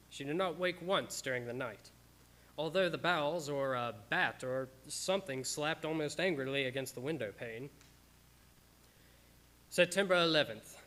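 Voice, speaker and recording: A man's voice: a little high, fairly bright tone. An actor playing an anguished, devoted doctor who narrates from his journals in an old-time radio drama style. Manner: stammering when distressed, dramatic.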